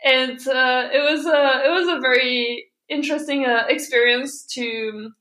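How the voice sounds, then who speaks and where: A woman's voice: sits high, steady tone, unhurried, pushed and bright. A woman from Europe, a podcast guest telling her personal story in an open way, laughing often between fillers and restarts. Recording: echoey room.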